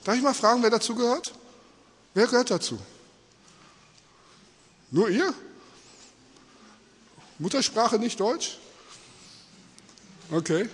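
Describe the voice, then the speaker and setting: A man's voice: extremely high pitch, animated, takes it slowly, pauses often, husky. A man whose native language is Spanish, preaching informally and conversationally in German, engaged and full of rhetorical questions and check-ins. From Australia-born, mother from Peru, living in Germany. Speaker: preacher addressing a congregation. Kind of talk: informal, conversational sermon in simple words. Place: large echoing indoor hall, speaking to an audience. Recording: reverberant hall.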